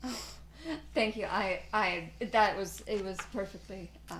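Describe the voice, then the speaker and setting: A woman taking her turn in a tabletop roleplay, speaking in character. She talks very slowly and haltingly, over a faint background hiss. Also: mid-range voice, fairly bright tone, rough recording. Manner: hesitant, halting. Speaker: player. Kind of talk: playing a character in a tabletop game.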